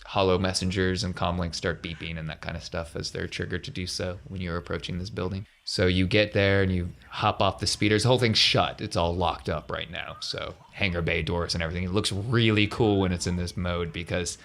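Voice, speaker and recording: A man's voice: low-pitched, fairly even intonation, fairly quick, vocal fry, hardly any pauses, fairly bright tone. A man running a tabletop space adventure as game master, narrating in an easygoing, descriptive way with conversational asides.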